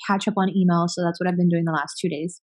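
A woman in her thirties, born in Venezuela, telling her story as a podcast guest in chatty, quick run-on sentences with many fillers. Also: on the low side, steady tone. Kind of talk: casual conversational interview.

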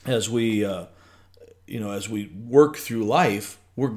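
A middle-aged man with a somewhat low voice talks his way through ideas on a podcast. He restarts often and thinks aloud in a loose, rambling way. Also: slow pace, a little hiss or hum. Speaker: podcast co-host. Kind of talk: casual faith podcast chat.

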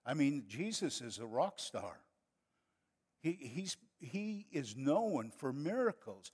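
An older man preaching with a northern Wisconsin accent, his voice sitting a little high for a man. He talks to the congregation in a folksy, chatty way and builds to fervent preaching. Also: sing-song, takes it slowly, a little mellow, slightly rough. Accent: Upper Midwest (northern Wisconsin). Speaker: pastor. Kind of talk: sermon.

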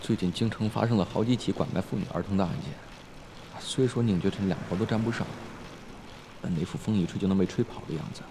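A man's voice: deep voice, quick pace, noisy background. A young man acting in a Chinese audio drama as a brash, impatient but protective schoolboy with a blunt, slangy way of talking. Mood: worried.

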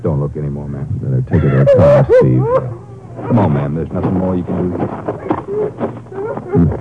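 A man's voice: very deep voice, moderate pace. An American man playing a firm, terse Texas Ranger in a 1950s radio drama, speaking in a clipped, authoritative way. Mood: grim, steady. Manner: clipped, matter-of-fact, authoritative. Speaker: lead actor of a radio crime series. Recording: muffled, noisy old radio broadcast recording.

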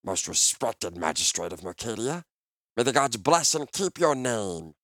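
Oily, swooping little goblin. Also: male voice, fairly low pitch, animated, measured pace, fairly bright tone, no echo, clean studio sound. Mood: fawning. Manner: obsequious flowery speech, all in questions. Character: mocking little goblin creature, sly, fawning, oily, insolent.

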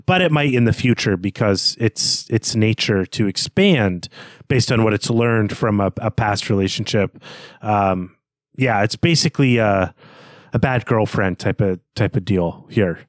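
A man co-hosting a podcast, talking through a story in an unscripted, halting way as he thinks aloud. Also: lowish voice, no echo, studio quality. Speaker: podcast co-host. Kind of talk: unscripted literary discussion.

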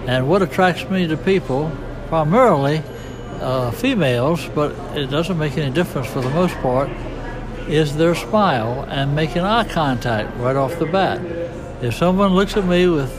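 Man interviewee, slightly high pitch, earnest, music under him. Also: poor audio. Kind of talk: street interview answer.

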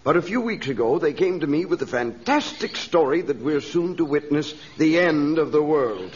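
A man's voice: a little high, expressive, normal speed, breathy edge. A man playing an earnest, anxious missionary in a radio adventure serial, well-spoken and urgent. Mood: worried. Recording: dull, noisy old radio recording.

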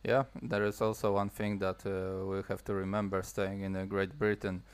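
Guy interviewer, deep-ish voice w lots of fry, pretty flat, careful halting english. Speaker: interviewer, host. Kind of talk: conversational interview.

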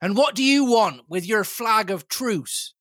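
A brisk, scornful ship's captain from a seafaring storybook, voiced by a male reader. He speaks in clipped, commanding phrases, full of disdain, in a dry close recording. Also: a little high, animated, breathy edge, no echo. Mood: challenging.

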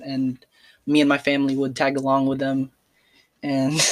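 Slow, hesitant young podcaster. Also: mid-range voice, no room sound. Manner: hesitant, many fillers and restarts. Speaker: student podcaster. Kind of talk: casual personal podcast monologue.

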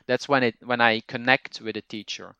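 A Flemish-accented man, a native Dutch speaker, talks English in an interview with a clean, resonant tone. The sound is close and dry, with no room in it. He explains at length and pauses to think as he goes. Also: mid-range voice, fairly even intonation, pushed and bright, clear, resonant tone, no room sound, dull audio. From Belgium. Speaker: language teacher and podcaster, guest. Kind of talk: conversational interview.

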